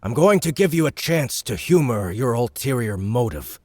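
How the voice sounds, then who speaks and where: A man voicing a huge, shrewd male baron who speaks slowly and commands the room, in a dry close recording. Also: medium pitch, very animated, darkish tone, dry recording, voice pushed up. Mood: commanding. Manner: slow, measured and domineering.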